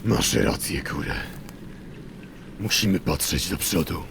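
A deep, heavily creaking male draenei, calm and caring, speaks with steady wisdom. It is fantasy audio drama, with rain ambience and faint noise behind the voice. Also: slow pace, full character voice. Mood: thoughtful, reassuring. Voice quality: gravelly, low.

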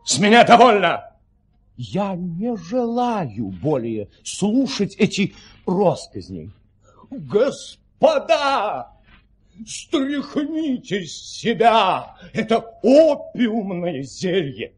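An indignant count in a radio play. His husky voice is high and swings wildly. He declaims with pompous, excitable outrage. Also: drawn-out words, heavily acted. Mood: indignant, haughty. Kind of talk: audio play acting.

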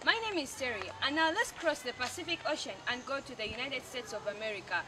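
A young woman student introducing video segments, speaking haltingly with restarts. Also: high-pitched, animated. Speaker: student presenter. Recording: distant mic with loud background noise.

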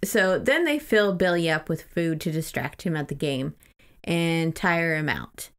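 A woman's voice: deep voice, lively intonation, a little slow. A woman co-hosting a podcast, casually recapping a book in an informal, amused way. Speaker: podcast co-host.

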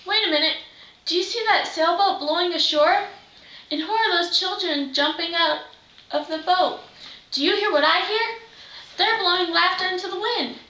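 A woman's voice: very high-pitched, moderate pace, bright, forceful tone. A teenage girl playing a witch's chatty, bossy sidekick, reading with exclamations in readers' theater. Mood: amazed. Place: living room, far camera microphone. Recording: roomy, distant microphone.

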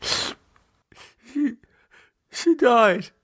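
A man voicing a drunken, confused and distraught man in an audiobook, slurred, halting and rambling. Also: extremely high pitch, lively intonation, fairly bright tone, breathy edge, no room sound, clean studio sound, voice pushed up, exaggerated voice. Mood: tearful, guilty.

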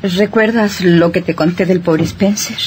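Actress as fragile, anxious young wife. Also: female voice, lowish voice, fairly even intonation, unhurried, a little mellow, slight background noise, natural voice. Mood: anxious pleading. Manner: pleading, repetitive. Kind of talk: melodramatic radio drama acting.

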